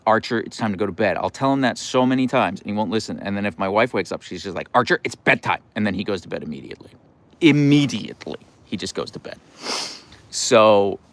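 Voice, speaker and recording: A middle-aged American man hosting a casual livestream, playful and wry, reading out comments and answering in halting starts. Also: fairly low pitch, a bit bright. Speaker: livestream host.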